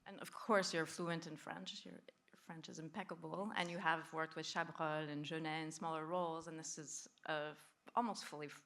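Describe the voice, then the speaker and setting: A woman's voice: deep voice, flat delivery, normal speed, fairly bright tone. A woman moderator interviewing a guest on a festival stage, asking appreciative questions.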